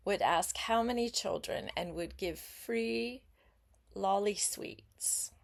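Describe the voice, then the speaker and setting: Older woman reading stories slowly and expressively. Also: medium pitch. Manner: expressive, pauses to chat with the child listener. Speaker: grandmother reading a children's book aloud. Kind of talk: read-aloud storytelling for young children with questions to the listener.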